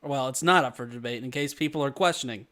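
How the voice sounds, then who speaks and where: A man's voice: medium pitch, fast talker, bright, forceful tone. A young man co-hosting a podcast, bantering casually, laughing often and swearing as he rambles. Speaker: podcast co-host.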